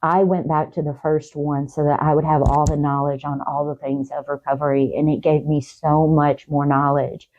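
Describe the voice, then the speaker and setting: A woman talk show guest giving heartfelt, faith-based encouragement in a halting way, repeating words and phrases. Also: low-pitched, flat delivery, a little mellow, dull audio, slight background noise.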